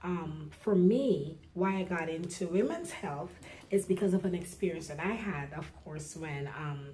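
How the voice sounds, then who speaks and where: A middle-aged woman from Guyana, a nurse, speaking softly and reflectively in a personal interview, pausing often with fillers. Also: fairly low pitch, animated, a little hiss or hum. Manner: soft-spoken, reflective, halting with fillers.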